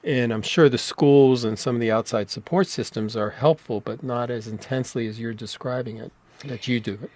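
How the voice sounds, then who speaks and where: A man's voice: medium pitch, brisk, a little mellow. A man hosting an interview, asking curious, conversational follow-up questions and politely apologizing when he interrupts. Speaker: interview host.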